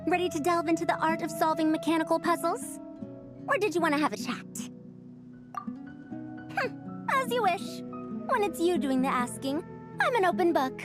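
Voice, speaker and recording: A woman's voice: very high voice, moderate pace, long pauses. A woman voicing a proud, refined young lady in a video game, speaking in an elegant, precise, haughty manner. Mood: refined, self-assured. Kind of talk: video game character dialogue. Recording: clear music under the voice.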